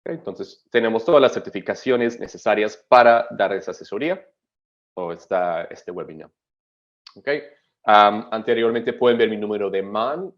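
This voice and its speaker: Man, low voice, muffled webinar audio. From Australia. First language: English. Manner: halting, with many fillers and self-corrections, checks in with "¿ok?". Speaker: migration agent presenting a webinar. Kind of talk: explanatory webinar presentation.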